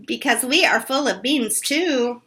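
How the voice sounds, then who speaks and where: A woman's voice: a little slow, fairly bright tone. Woman doing a tiny sassy chihuahua. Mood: grave. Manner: high, sassy, slangy. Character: tiny, cheeky young male chihuahua.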